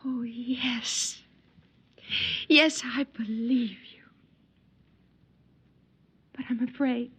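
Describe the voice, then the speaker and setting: High, breathy, yearning young wife. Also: female voice, fairly even intonation, very slow, long pauses, fairly bright tone, full character voice. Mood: hushed, afraid. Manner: earnest, emotional, hanging on words. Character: yearning, fearful young wife, gentle and trusting. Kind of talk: old-time radio drama acting. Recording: dull vintage radio recording.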